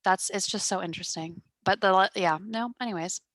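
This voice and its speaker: Woman, 20s, podcast host on a headset; calm, even, deadpan delivery, loose and rambling, dry close sound. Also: medium pitch, fairly quick, no echo. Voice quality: calm, fairly monotone voice. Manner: rambling, filler-heavy, deadpan humor. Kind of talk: casual chat. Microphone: gaming headset.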